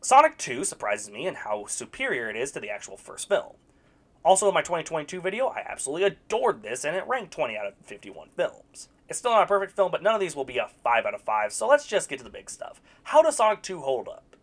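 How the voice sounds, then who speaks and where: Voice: mid-range voice, huge pitch swings, fast talker. A young adult video reviewer delivering scripted movie commentary with sarcastic, blunt verdicts.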